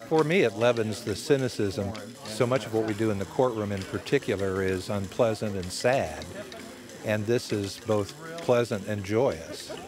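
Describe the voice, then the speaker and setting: A man's voice: lowish voice, moderate pace, over music. A man who works as a judge, speaking in a thoughtful, plain-spoken interview. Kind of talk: reflective interview.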